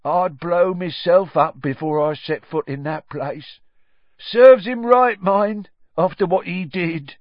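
Man acting a dour, slow-talking bus driver. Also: high-pitched, no echo, lo-fi sound, voice pushed up. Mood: grim. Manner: slow, dark, grunting.

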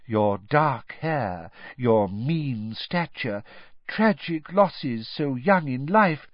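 A wafty, mystical older woman teacher, as a male reader puts her on, lifting high and drawing her words out in a sing-song sweep. Her voice rises and falls across a wide range. The sound is dry and a little dull. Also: lively intonation, no echo. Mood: dreamy, mystical. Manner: airy, drawn-out mystical delivery, turns sharp when cross. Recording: dry, slightly dull studio recording.

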